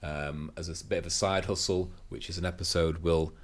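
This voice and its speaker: Brit guy late thirties, very low voice, chatty and rambly, fillers everywhere. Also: fairly even intonation, brisk. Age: 30s. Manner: rambling, lots of fillers and agreeing murmurs. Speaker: podcast co-host. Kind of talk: casual chat. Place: home garage workshop.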